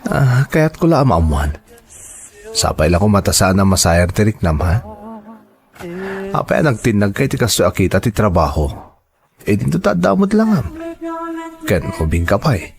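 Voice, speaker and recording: A man's voice: lowish voice, big pitch swings, moderate pace, gravelly, pauses often, pitched below the performer's own voice. A man playing a dutiful, earnest grown son and husband with an Ilocano accent in an audio drama. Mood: earnest, coaxing.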